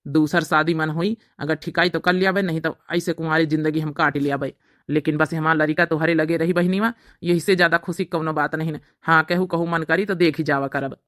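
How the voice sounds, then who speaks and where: Bright forceful voice, dry close sound, Awadhi accent, emotional self-sacrificing brother, man acting it out, cartoon drama. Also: fairly high pitch, steady tone, a little fast, no echo, natural voice. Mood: earnest, sacrificing. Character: earnest self-sacrificing brother giving up his son, emotional. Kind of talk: homemade cartoon family drama voice-over.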